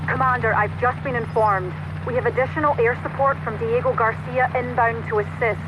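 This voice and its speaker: A calm female military intelligence operator in a video game. She briefs in a precise, cautious, businesslike way over the radio, with clear noise and humming in the background. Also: on the high side, fairly quick. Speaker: mission support operator on radio. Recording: noisy radio link with vehicle hum.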